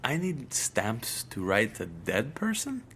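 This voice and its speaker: A man's voice: mid-range voice, wildly swooping pitch, slow pace, a bit bright, a little hiss or hum, full character voice. An actor playing a puzzled, earnest young man who keeps protesting in confusion. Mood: baffled. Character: bewildered young man writing to a dead author.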